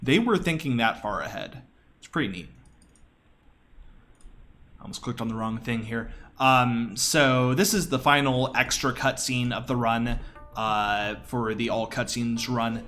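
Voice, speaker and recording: A man's voice: mid-range voice, a little slow. A man giving casual, enthusiastic live commentary on his own video game speedrun in a conversational way. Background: quiet game audio.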